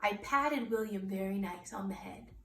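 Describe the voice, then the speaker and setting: A woman's voice: medium pitch, moderate pace, a bit bright. A woman reading a children's book aloud expressively, with emphatic delivery aimed directly at young listeners. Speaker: storybook reader for children. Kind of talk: expressive read-aloud narration. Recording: echoey room, distant mic, faint noise.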